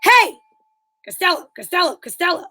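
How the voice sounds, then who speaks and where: A woman's voice: extremely high pitch, big pitch swings, normal speed, pushed and bright, slight background noise, exaggerated voice. A woman playing an exasperated, bossy straight man in an old-time radio comedy sketch, scolding and questioning with disbelief. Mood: impatient.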